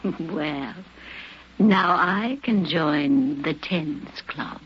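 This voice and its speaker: A deceitful young woman in a radio play, her voice on the low side and often hushed. She takes her lines very slowly and tenderly, with theatrical care. The recording is dull, with a faint hiss. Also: exaggerated voice. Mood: coolly mocking. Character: scheming young woman, a coolly deceitful would-be actress. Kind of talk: radio drama acting. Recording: muffled, hissy old radio drama recording.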